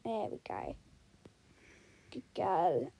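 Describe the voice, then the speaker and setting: A woman's voice: on the low side, big pitch swings, very slow, mellow, breathy edge. A young woman giving casual vlog commentary while riding, breaking off into short soothing commands and murmured praise to a horse. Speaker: horse rider in a voiced role-play video.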